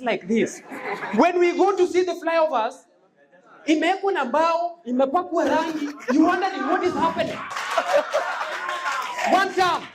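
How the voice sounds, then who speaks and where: Kenyan-accented student debater, high-pitched voice, punchy rhetorical delivery, noisy crowd in a hall. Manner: punchy, rhetorical, repeats slogans, shouts points. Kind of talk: fiery competitive debate speech.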